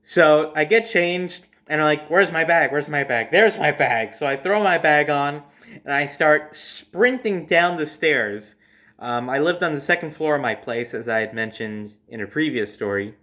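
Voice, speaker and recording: A young adult man telling a casual personal story as host, in a dramatic, emphatic manner with drawn-out phrases. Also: a little high, lively intonation, pushed and bright.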